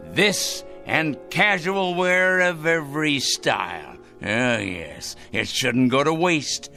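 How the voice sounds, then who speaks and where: An actor in a radio drama playing a paranoid, gloating crank who rambles theatrically to himself. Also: male voice, fairly high pitch, sharp tone, exaggerated voice. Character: gleeful paranoid male crank plotting a moral purge.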